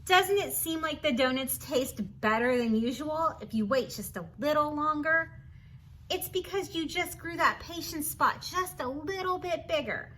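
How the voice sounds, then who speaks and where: A woman with a high, bright voice reads a children's storybook aloud. She is expressive and teacherly, often stepping out of the text with encouraging asides and questions. Some light noise sits behind her voice. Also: a little slow, rough recording.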